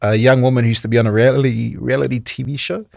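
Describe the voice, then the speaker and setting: A man's voice: medium pitch, fairly even intonation, quick pace, vocal fry, a little mellow, no room sound. A man recounts stories casually as a podcast co-host. His voice sits mid-range and is recorded close and dry.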